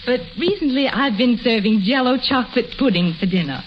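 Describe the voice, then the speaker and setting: Woman doing a chatty housewife in an old radio ad, highish voice, muffled. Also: expressive, fairly quick, a little hiss or hum, natural voice. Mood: earnest, explaining. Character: chatty, earnest housewife customer returning goods. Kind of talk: 1940s radio advertisement acting.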